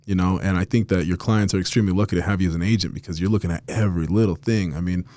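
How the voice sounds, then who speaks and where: A man's voice: low-pitched, steady tone, racing, a little mellow, no echo. A man hosting a casual podcast interview, friendly and hyped, calling his guest bro and dude. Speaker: podcast host.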